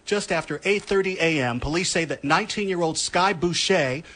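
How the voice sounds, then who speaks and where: A man's voice: a little high, moderate pace, fairly bright tone. An American man narrating a scripted report as a radio news correspondent.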